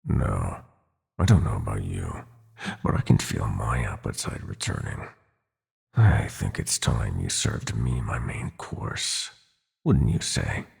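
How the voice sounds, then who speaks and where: A man's voice: rumbling low, big pitch swings, slow pace, darkish tone, heavily acted. A man playing a sinister, seductive prince of nightmares in an ASMR roleplay, gloating and possessive, with a theatrical, taunting purr that slips into whispers. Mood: possessive, hungry. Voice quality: gravelly, husky.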